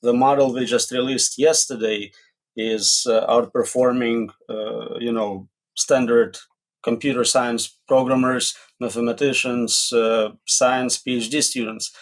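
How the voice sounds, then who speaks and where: A man's voice: medium pitch, little pitch movement, measured pace. A man with a mid-range voice speaks as an expert guest in an interview. He gives his answers plainly and bluntly, in a matter-of-fact manner. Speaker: expert guest, AI safety researcher.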